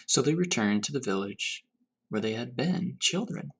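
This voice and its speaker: Animated male teacher reading a storybook aloud. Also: mid-range voice, gravelly, background noise. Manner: pauses to pose questions to young listeners. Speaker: teacher reading to fourth graders. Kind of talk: read-aloud storybook narration with questions to children.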